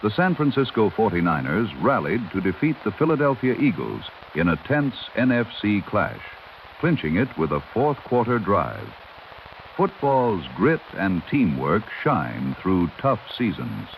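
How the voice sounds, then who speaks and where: A man's voice: lowish voice, big pitch swings, unhurried. A man working as a news announcer, reading a scripted newscast in a formal, dramatic 1930s radio style. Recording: dull vintage radio sound, faint noise.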